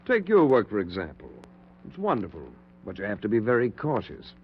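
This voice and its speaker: An actor playing a cautious, skeptical middle-aged surgeon in a 1940s radio drama, hesitant and measured. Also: male voice, lowish voice, wildly swooping pitch, a little hiss or hum. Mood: polite, measured. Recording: dull 1940s radio recording.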